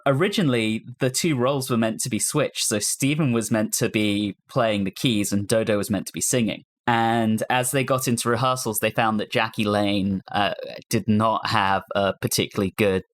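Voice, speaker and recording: A man's voice: lowish voice, normal speed, few pauses. A man hosting a casual panel discussion podcast, talking conversationally with fellow hosts. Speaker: podcast host.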